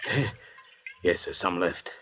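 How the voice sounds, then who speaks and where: An actor playing a cunning, commanding convict doctor with a stern, calculating manner, in old-time radio drama style. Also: male voice, low-pitched, slow, background noise. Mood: wary, persuasive. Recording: muffled vintage radio recording.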